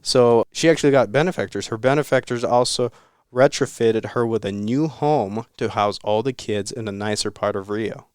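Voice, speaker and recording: A man's voice: medium pitch, normal speed, darkish tone, smooth, no echo, studio quality. A man hosting a casual podcast, recounting a story in a loose, rambling way with casual swearing. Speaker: podcast host.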